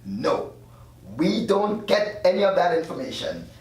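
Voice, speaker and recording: A man's voice: high-pitched, very animated, measured pace, a little mellow, heavily acted. A man playing an evasive afterlife doctor in his thirties, read at a script table read. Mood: explaining. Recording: very echoey room, distant mic.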